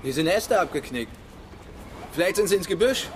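A soldier on night patrol in a children's radio play, grumbling and plain-spoken. His voice sits fairly high for a man, over loud clopping hooves and outdoor noise. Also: lively intonation, moderate pace. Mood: grumbling, searching. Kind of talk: German children's radio drama acting. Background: clopping horses outdoors.

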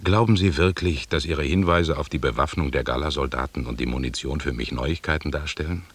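An actor playing an imperious, condescending expedition leader in a German radio drama, lecturing deliberately in a gravelly, husky voice. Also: male voice, extremely low pitch. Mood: stern, lecturing.

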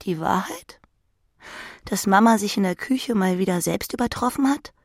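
A woman's voice: mid-range voice, moderate pace, creaky, dry recording, clean studio sound. A woman in her thirties reading scripted literary narration in the second person for an audio drama. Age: 30s.

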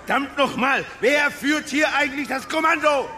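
An actor playing a blustering, sarcastic police chief giving orders in a crime radio drama. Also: male voice, very high voice, normal speed, bright, forceful tone, big-room sound, a little hiss or hum, full character voice. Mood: commanding, irritated. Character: gruff, irascible male police chief.

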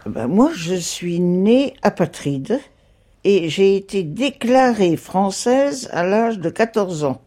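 An elderly woman in her eighties answers plainly and emphatically. Her pitch moves a lot, and her tone is mellow and dark. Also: animated, slow pace. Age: 80s. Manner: plain, matter-of-fact, emphatic. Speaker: interviewee.

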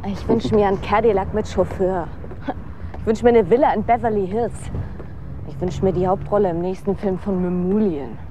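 Clear street and traffic noise surrounds a young woman played by a radio actress. She is bitter and sardonic, a world-weary would-be film star who talks in a deadpan, cynical way with a mid-pitched voice. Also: normal speed, natural voice. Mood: scornful, dry. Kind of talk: German radio drama acting. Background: city street ambience with vehicles.

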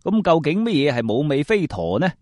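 A man with a Guangzhou Cantonese accent telling scripted folk stories in an expressive, colloquial, explanatory way. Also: fairly high pitch, a little fast, no echo, studio quality. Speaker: storyteller and presenter of Cantonese folk sayings. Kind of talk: lively scripted storytelling narration.

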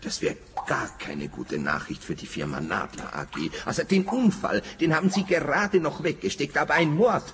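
An actor playing a nervous, hesitant forester in a radio play, stammering and then emphatic. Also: male voice, a little high, very animated, moderate pace, hardly any pauses, background noise. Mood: alarmed, hesitant. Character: nervous, hesitant male forester, eager to defend others.

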